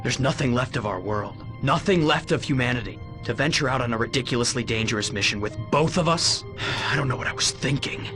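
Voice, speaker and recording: An earnest human hero played by a man speaks with a protective, burdened air in a game cutscene. His mid-range voice moves in halting phrases over prominent music. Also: mid-range voice, expressive, natural voice. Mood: anguished. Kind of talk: dramatic scripted game cutscene acting.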